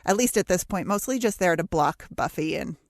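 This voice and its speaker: A woman's voice: lowish voice, animated, fairly quick, no echo, clean studio sound. A woman co-hosting a podcast, chatting casually and analytically about a story. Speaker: podcast co-host.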